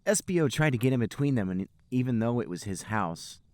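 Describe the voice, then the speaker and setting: A man co-hosting a podcast, deadpan as he banters and reads aloud for comedy. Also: mid-range voice, brisk, dry recording. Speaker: podcast co-host and reader. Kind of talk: comic read-aloud and casual banter.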